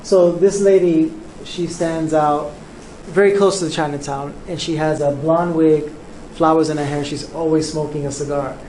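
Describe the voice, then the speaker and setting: Soft-spoken New artist in a roomy studio. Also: male voice, a little high, steady tone. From Cuba, raised in New York. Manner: soft-spoken, unhurried, with frequent ums. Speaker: visual artist, interviewee. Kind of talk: relaxed interview answers. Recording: roomy studio, faint background noise.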